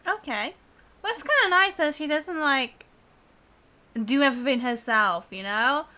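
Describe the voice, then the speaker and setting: High, bright young British woman streamer. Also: expressive, measured pace. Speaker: game streamer. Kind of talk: casual live-stream chat.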